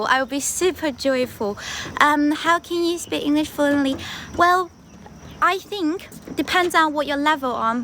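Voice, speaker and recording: A woman's voice: sits high, fairly bright tone, a little hiss or hum. A young woman from China with a strong Chinese accent, chatting casually on a livestream while walking outdoors, with lots of fillers. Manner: chatty, switches between English and Mandarin, frequent fillers. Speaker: livestream host. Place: outdoors on a path in a wetland park.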